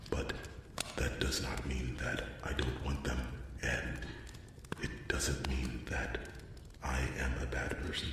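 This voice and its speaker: A man playing a lonely, awkward dwarf blacksmith in a fantasy ASMR roleplay, rambling and stammering earnestly as he tries to make a friend. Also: rumbling low. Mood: wistful, grateful. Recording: echoey, distant, noisy with clicks.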